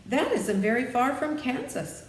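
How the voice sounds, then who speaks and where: A woman's voice: high-pitched, big pitch swings, a little slow, reverberant, long reverb tail, distant mic, voice pushed up. Woman voicing an earnest, bewildered young girl. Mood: bewildered, curious. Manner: bewildered, inquisitive, exclaiming.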